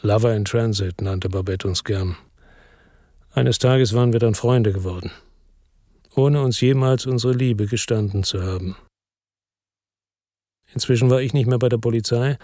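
A man's voice: mid-range voice, moderate pace, long pauses, warm tone, no room sound, studio quality, lightly acted. An actor playing a middle-aged, weary and bitter ex-policeman, narrating tersely in a radio drama. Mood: sombre. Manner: terse, sombre, matter-of-fact. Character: weary, bitter male ex-policeman narrating his own crime story.

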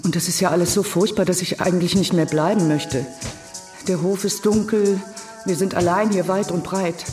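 Casting: actress as reserved painter, lowish voice, precise, radio crime drama with clear music behind. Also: female voice, fairly even intonation, moderate pace, close to an everyday voice. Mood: reserved, uneasy. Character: reserved, independent-minded female painter giving testimony. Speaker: actor.

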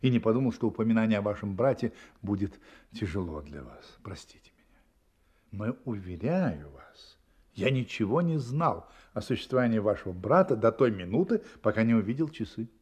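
An actor playing a brilliant, confident detective in a Russian radio play, explaining deliberately and emphatically with dramatic pauses. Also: male voice, on the low side, big pitch swings, darkish tone, husky. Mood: apologetic, soft.

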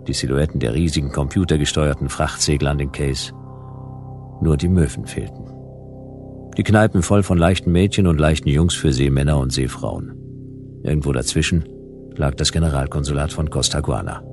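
A man's voice: rumbling low, lively intonation, normal speed, vocal fry, a little mellow, faint music behind, full character voice. A man playing a stubborn hard-boiled detective, narrating his case in a dry, laconic, wry way in a radio play. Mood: dry, matter-of-fact.

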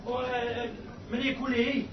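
An actor playing a timid, flustered, deferential orchestra underling in a radio play, speaking hesitantly. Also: male voice, very high-pitched, flat delivery, a little slow. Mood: nervous, eager. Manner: hesitant, echoing his superior's words. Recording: echoey, distant, dull recording.

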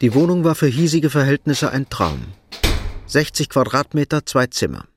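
A man narrating a radio drama in a measured, understated, literary style. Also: medium pitch, sing-song, background noise.

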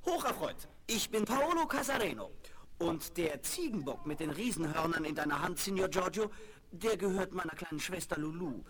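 An actor playing a jovial, teasing circus clown, theatrical and mock-formal, in a 1970s radio play. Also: male voice, high voice, expressive, normal speed, a crowd in the background. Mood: playful. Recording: noisy, clicking vinyl record rip.

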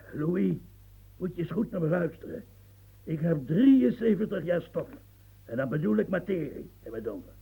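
An actor in a radio play voicing a husky, fiery old marshal in his seventies, who rants and stammers when flustered. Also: on the high side, dark tone, heavily acted, rough recording. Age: 70s. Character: old marshal of seventy-three, fiery, long-winded reformer.

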